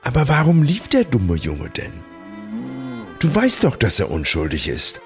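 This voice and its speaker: An actor in a German radio play playing a commanding landlord who also narrates in a literary, dramatic style. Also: male voice, huge pitch swings, unhurried, music in the background. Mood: probing. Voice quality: low-pitched. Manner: dramatic, varied delivery.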